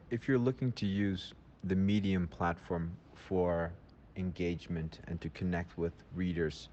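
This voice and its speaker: Male explainer, lower register. Muffled lo-fi sound with faint ambient noise. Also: a little mellow. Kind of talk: explanatory podcast answer. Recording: dull lo-fi, faint background noise.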